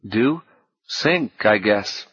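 A man's voice: fairly low pitch, extremely slow, husky, no room sound, exaggerated voice. A man playing a worn-out, resigned sick boy. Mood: wry. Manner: weary, flat, resigned. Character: sick, exhausted teenage boy, wry and resigned. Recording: dull lo-fi cassette audio.

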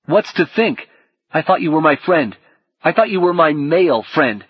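Man as an earnest, higher-pitched teenage boy. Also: normal speed, husky, no room sound, lo-fi sound. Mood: hurt, angry.

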